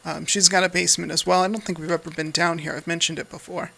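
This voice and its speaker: A man's voice: a little high, little pitch movement, fast talker, creaky, pushed and bright. An American man giving casual, off-the-cuff gameplay commentary in an easygoing, rambling way. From United States. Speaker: Let's Play host.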